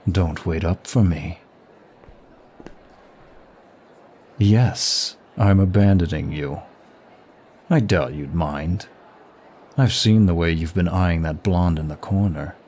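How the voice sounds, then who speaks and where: Deep, slow-talking seductive demon played by a man. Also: darkish tone, slight background noise. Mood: teasing. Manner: suave, teasing, formal flirtatious phrasing. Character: seductive, smug demon of lust at a masquerade. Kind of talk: intimate ASMR audio roleplay to the listener.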